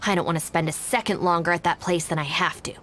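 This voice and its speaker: A woman's voice: on the low side, steady tone, fast, fairly bright tone, slight background noise, lightly acted. A woman playing a stern, level-headed young gang minder in a video game, speaking in a dry, controlled, exasperated way. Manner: dry, controlled, matter-of-fact. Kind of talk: English video game voice acting.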